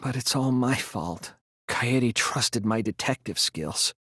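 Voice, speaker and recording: A man voicing an earnest, self-doubting teenage boy detective in a dramatic video game, speaking in a deliberate, explanatory way. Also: medium pitch, lively intonation, fairly bright tone, breathy edge, no room sound, clean studio sound, exaggerated voice. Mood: guilty, pained. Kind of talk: dramatic English video game voice acting, deductive monologue.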